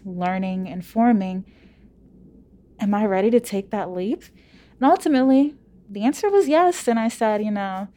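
A young woman from Louisiana speaks in a relaxed interview. She tells her story with energy and punches key points with rhetorical questions. Her voice sits at a medium pitch. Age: 20s. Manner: animated, emphatic rhetorical questions. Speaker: college student. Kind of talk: casual interview, personal story.

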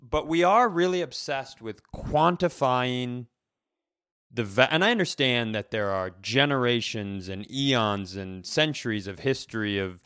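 A man's voice: medium pitch, measured pace, clear, resonant tone, no echo, clean studio sound. A man co-hosting a comedy podcast, ranting casually with profane, sarcastic, storytelling delivery. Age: adult, parent of young child. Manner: profane, sarcastic, animated storytelling with mimicked quotes.

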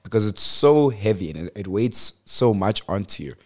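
A man's voice: fairly low pitch, moderate pace. A man in his twenties from South Africa, co-hosting a podcast in casual, slangy chat. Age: 20s. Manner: relaxed, slangy, laughs often. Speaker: podcast co-host.

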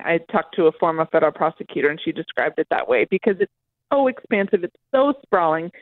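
A woman speaking as a political reporter in a live interview, explaining in a halting, self-correcting way. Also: medium pitch, no room sound. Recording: narrow, lo-fi phone line.

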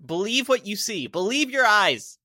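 A man's voice: high-pitched, big pitch swings, moderate pace, pushed and bright, no echo, studio quality. A man co-hosting a comedy podcast with improvised banter. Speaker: podcast co-host.

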